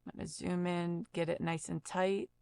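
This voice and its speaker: A woman instructing in a casual step-by-step software tutorial, explaining each step plainly into a close mic. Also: fairly low pitch, flat delivery, normal speed, gravelly, smooth, no room sound.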